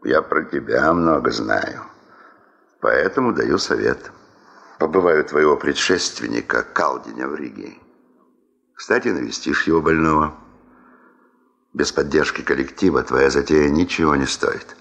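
An actor playing an authoritative, sober veteran boss in a 1970s Soviet radio play, speaking in a terse, blunt, commanding way. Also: male voice, very deep voice, measured pace, vocal fry, pauses often, a bit bright, full character voice. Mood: blunt, knowing.

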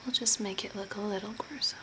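A woman whispering softly and breathily in a soothing, deliberate ASMR role play, close to the mic. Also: medium pitch, steady tone. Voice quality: breathy, mostly unvoiced whisper. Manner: soft, deliberate, soothing with short phrases. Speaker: ASMR performer playing a zombie makeup artist. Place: small curtained room at home. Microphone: close to the mic at a desk. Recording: clear background noise, room ambience.

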